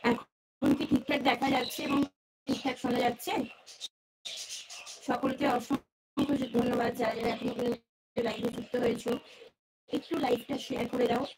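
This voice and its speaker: A woman's voice: fairly high pitch, flat delivery, moderate pace, pauses often. A woman hosting a casual devotional livestream, repeating greetings and questions to her viewers. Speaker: livestream host. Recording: clearly noisy livestream audio with clicks.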